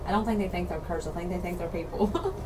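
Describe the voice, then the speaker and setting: A woman co-hosting a radio outdoors show in casual chat, slightly hoarse from losing her voice. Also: lowish voice, fast. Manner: chatty, laughs easily, repeats details. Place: radio studio. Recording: distant mic with background noise.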